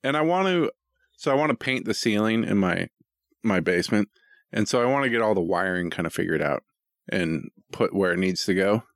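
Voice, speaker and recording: A man's voice: on the low side, animated, fairly quick, dry recording, clean studio sound. A middle-aged American man chatting casually as a podcast co-host, rambling and self-deprecating, with some easy swearing. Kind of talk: casual chat.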